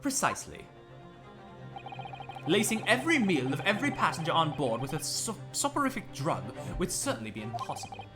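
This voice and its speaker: A woman voicing a calm, superior gentleman detective who talks in a deliberate, lecturing way, over game music. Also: low-pitched. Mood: lofty. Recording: game music playing underneath.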